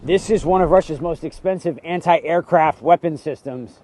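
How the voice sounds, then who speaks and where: American male field reporter, fairly high voice, emphatic phrasing, outdoors with slight ambient noise. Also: moderate pace, warm tone. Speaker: TV news reporter. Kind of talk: on-location reporting and interviewing. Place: outdoors on location. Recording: faint outdoor background noise.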